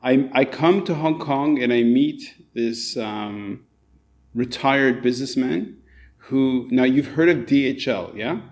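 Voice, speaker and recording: A man's voice: mid-range voice, takes it slowly. A man who grew up in Canada, hosting a podcast in a chatty, enthusiastic way with many fillers. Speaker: podcast host. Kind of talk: casual interview chat.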